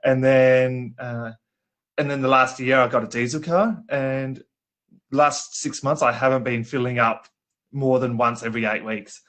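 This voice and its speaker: Male leadership guest, bright, forward tone, anecdotal, laughs often. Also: medium pitch, steady tone, measured pace. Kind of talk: casual interview over a live video call.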